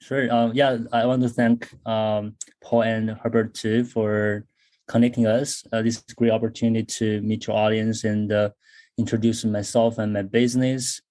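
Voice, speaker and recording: A man's voice: fairly low pitch, level tone, moderate pace, darkish tone. A man in his thirties from China, speaking Chinese-accented English as an interview guest, thoughtful with many fillers and restarts. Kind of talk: casual interview talk.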